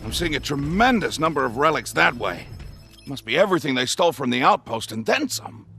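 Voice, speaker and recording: A man playing a brisk, inquisitive male expedition member in a video game. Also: medium pitch, sing-song, gravelly, over music. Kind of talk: video game cutscene acting.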